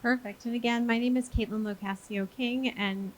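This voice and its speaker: A woman's voice: a little high, steady tone, moderate pace, slight background noise, rough recording. A woman from Maine in the United States moderating a live conference panel, chatty and self-deprecating, with lots of fillers. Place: conference stage.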